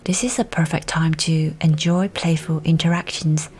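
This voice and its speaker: A woman reading a scripted guided meditation in a soft, soothing, breathy delivery. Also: sits low, fairly even intonation, normal speed. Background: music.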